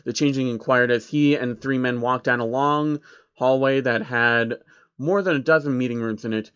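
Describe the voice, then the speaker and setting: Man reading a story with deadpan wry asides. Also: mid-range voice, moderate pace, clean tone, dry recording, highs cut off. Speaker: narrator.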